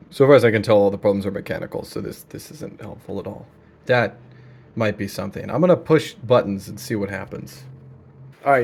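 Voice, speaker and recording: A young man talking casually and deadpan as he narrates a hands-on repair, with slangy, wry delivery. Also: on the low side. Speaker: video creator. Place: indoor room at a desk.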